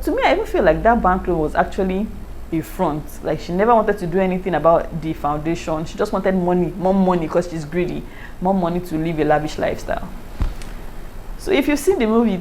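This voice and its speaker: A woman with a Nigerian accent, chatting casually to her viewers in a lively way and often breaking into laughter. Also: fairly low pitch, slight background noise, poor audio. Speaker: YouTuber. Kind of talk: casual review chat to camera.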